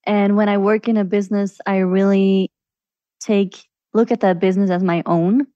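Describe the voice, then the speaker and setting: A woman's voice: medium pitch, steady tone, normal speed, warm tone, no echo, highs cut off. A woman from Belgium with a Belgian accent, chatting casually as a podcast guest. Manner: chatty, with fillers and restarts. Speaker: podcast guest, virtual assistant. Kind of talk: casual interview answers.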